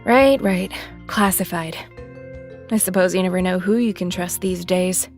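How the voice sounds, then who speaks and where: Audio drama role: actress playing a guarded, worn-out female official, slightly low voice, dry sarcasm, music underneath. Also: unhurried. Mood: guarded, probing.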